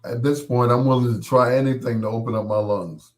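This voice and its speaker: A middle-aged man with a cold-roughened, hoarse voice, hosting a late-night radio show in a rambling, folksy way and chuckling at his own corny jokes. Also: medium pitch, steady tone, fairly quick.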